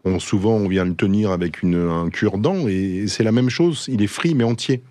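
A man from the Ardèche, a chef, talking as a radio guest in a relaxed, hesitant way. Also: fairly low pitch, normal speed, no room sound, clean studio sound. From Ardèche, France. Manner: relaxed, hesitant, restarts phrases. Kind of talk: casual interview. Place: radio studio. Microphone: studio mic.

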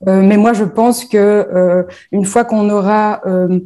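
A woman's voice: medium pitch, fairly even intonation, slow pace, warm tone. A woman from northern France, founder of a bereavement platform, answering interview questions with frequent hesitations and fillers.

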